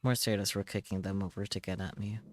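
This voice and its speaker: Low-voiced man, solemn dramatic narration. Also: level tone, heavy background noise. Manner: solemn, measured, short halting phrases. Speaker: streamer reading a visual novel aloud. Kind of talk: dramatic scripted first-person narration.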